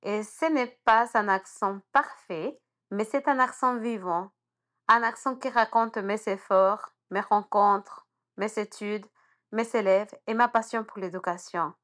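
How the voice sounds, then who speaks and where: A woman's voice: medium pitch, unhurried, a bit bright. A woman immersion teacher from Honduras, Spanish her first language, with an accent that carries traces of Spanish and English, speaking in an earnest and encouraging way. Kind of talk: prepared personal message.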